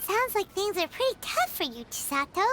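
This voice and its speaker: Chirpy fairy sidekick, very high and wildly bouncy, blunt and curious. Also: female voice, sing-song, normal speed, pushed and bright, slight background noise, full character voice. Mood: sympathetic. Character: tiny excitable floating fairy companion. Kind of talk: video game voice acting.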